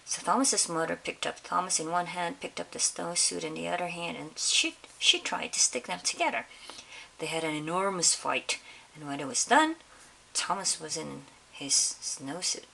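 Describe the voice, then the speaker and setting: A woman reading a picture book aloud to children in an expressive storytelling style, pausing to put questions to her listeners. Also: lowish voice, vocal fry, rough recording. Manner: pauses to question young listeners. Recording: faint background hiss.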